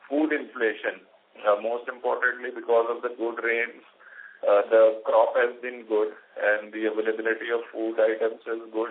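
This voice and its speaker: Male corporate executive for conference-call answers: mid-pitched, monotone, hesitant, heard over a narrow phone line. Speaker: company executive. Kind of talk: earnings call answers, business explanation.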